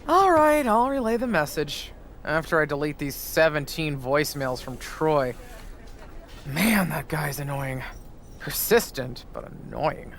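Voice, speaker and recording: Harried wry official, game cutscene, conversational, very varied pitch, faint background noise. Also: female voice, lively intonation, a little slow. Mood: exasperated. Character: harried wrestling show official juggling complaints. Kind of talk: conversational scripted cutscene acting.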